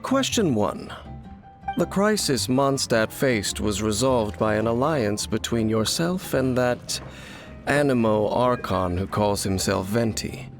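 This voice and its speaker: A man's voice: medium pitch, animated, slow. A man playing a solemn, enigmatic wanderer in a video game, speaking in a cool, formal, cryptic way. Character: solemn, enigmatic male wanderer hunting dark forces. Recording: music behind the voice. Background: background music.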